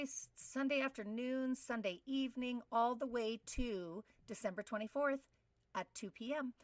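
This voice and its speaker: A woman's voice: fairly high pitch, a bit bright, no room sound. Enthusiastic woman, slightly distant dry mic. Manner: enthusiastic, effusive. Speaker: podcast co-host. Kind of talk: casual conversational interview. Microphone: video call microphone.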